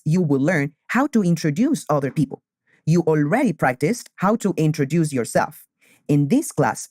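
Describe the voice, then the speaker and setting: A man, teaching beginner English and repeating key phrases slowly. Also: a little high, darkish tone, no echo, studio quality. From Colombia. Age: 20s.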